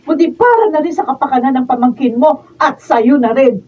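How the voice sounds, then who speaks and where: A woman's voice: sits high, a little fast, warm tone, close to an everyday voice. A woman acting a polite but determined pregnant wife confronting an affair in a radio drama. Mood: pleading, firm. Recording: distant muffled mics, noisy raw studio video.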